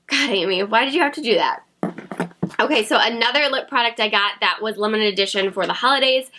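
A woman's voice: a little high, brisk, background noise. A woman in her thirties chatting to camera about beauty products, a bit sick and nasal, giggly and self-mocking, at a desk in her home beauty room. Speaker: beauty vlogger. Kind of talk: casual haul chat to camera.

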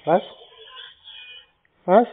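A man answering phone calls in a terse, deadpan, mocking way. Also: very slow. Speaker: call recipient, prank-answering scam calls. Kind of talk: phone conversation. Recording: tinny, narrow phone line.

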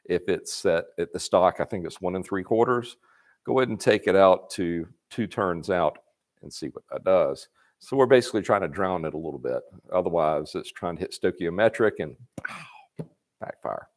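A man's voice: low-pitched, moderate pace. A man, hosting a live Q&A from a workshop and giving casual, folksy repair advice. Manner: folksy, chatty, reads questions aloud and adds anecdotes. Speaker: parts company host answering viewer questions. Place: workshop garage with motorcycles, indoors.

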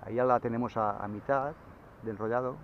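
A man explaining kayak sailing in a relaxed, casual way, with fillers, speaking from a kayak at sea into an action camera. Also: medium pitch, fast. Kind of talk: casual how-to explanation on the water. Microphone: action camera mounted on the kayak. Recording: dull lo-fi camera audio, faint wind.

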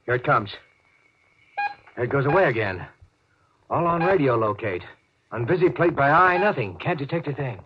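An actor playing an anxious, deferential spaceship officer, giving clipped reports in a 1950s science fiction radio drama. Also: male voice, mid-range voice, big pitch swings, moderate pace, pauses often, natural voice. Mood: uneasy. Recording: dull, noisy 1950s radio recording.

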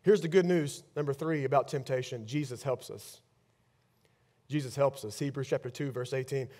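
A man in his thirties preaching a sermon on a large church stage with a headset mic, swinging between loud bursts and hushed lines. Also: medium pitch, normal speed. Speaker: pastor.

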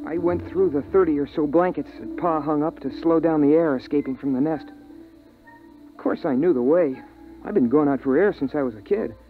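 Man playing an earnest, high-voiced boy in radio drama. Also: fairly quick, over music, natural voice. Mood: tense storytelling. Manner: eager, boyish narration. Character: earnest, excitable boy who scavenges air. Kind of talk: 1950s radio drama acting. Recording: tinny band-limited 1950s radio recording.

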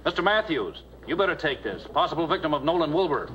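An actor playing a male highway patrol dispatcher giving crisp, procedural reports in a 1950s TV crime drama. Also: big pitch swings, fast, a bit bright, highs cut off, slight background noise.

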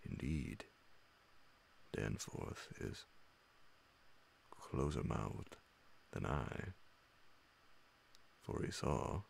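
A middle-aged man with an extremely low voice full of heavy vocal fry reads a story in ASMR audiobook style. He goes slowly, with frequent pauses and a hushed delivery into a studio mic. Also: expressive, slight background noise. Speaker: narrator reading classic horror stories. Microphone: large studio condenser microphone close beside him, headphones on.

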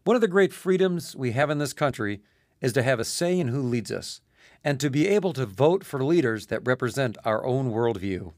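A man's voice: mid-range voice, animated, moderate pace, no room sound, studio quality. A man speaking as a pastor and ministry leader in a scripted radio message.

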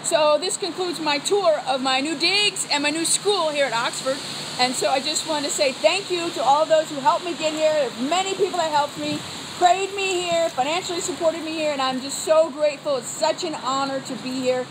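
A woman's voice: high voice, normal speed. An American woman chatting enthusiastically to camera in a casual tour vlog. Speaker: vlogger, theology student. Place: walking around college grounds, indoors and outdoors. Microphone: phone at arm's length. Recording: faint noise, handheld phone.

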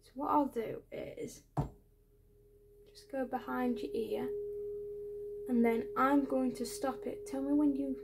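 A woman in her twenties speaking softly in an ASMR medical roleplay as an ear doctor, hesitant and reassuring. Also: high-pitched, fairly even intonation, takes it slowly, gravelly, long pauses, noisy background. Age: 20s. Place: small bedroom, indoors.

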